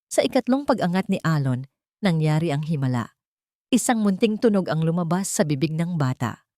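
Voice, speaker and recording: A woman's voice: sits low, sing-song, moderate pace, dark tone, no echo, clean studio sound. A woman narrating a dramatic romance audiobook in a scripted storytelling style.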